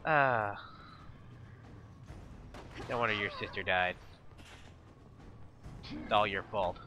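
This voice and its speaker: A man's voice: lowish voice, slow. A man with a nasal, congested voice rambling and swearing while he commentates his game playthrough. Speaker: gamer commentator. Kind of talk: casual let's play commentary.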